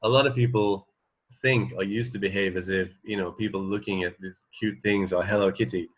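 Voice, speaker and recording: Male radio co-host, low register, casual and conversational, halting as he works through his thoughts. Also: fairly even intonation. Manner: halting, thinking aloud. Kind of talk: casual chat.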